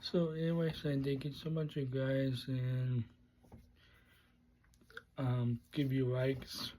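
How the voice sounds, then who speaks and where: Male vlog host, unpolished and casual, slow delivery in broken phrases, some background noise. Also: mid-range voice, flat delivery, warm tone. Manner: halting, short fragmented phrases. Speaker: unboxing video host.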